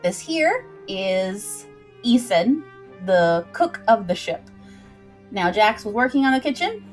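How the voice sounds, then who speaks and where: A woman's voice: medium pitch, sing-song, slow, faint music behind. A woman hosting a livestream, recapping a story informally and explaining it directly to her viewers in a chatty way.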